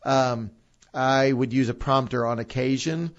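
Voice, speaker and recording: A man co-hosting a church podcast in casual chat, with a loud, carrying voice. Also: medium pitch, a little slow. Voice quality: loud voice that carries. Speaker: podcast co-host, church leader. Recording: dull lo-fi podcast audio.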